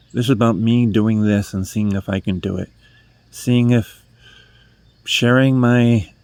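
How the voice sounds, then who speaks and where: Man, over 50, New England accent, slightly low voice, rambling and self-deprecating, phone mic. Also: gravelly, a little mellow, dry recording. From New Hampshire, New England. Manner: meandering, self-deprecating, corrects himself mid-sentence. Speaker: first-time book vlogger. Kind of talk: casual rambling monologue. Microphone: phone held in hand.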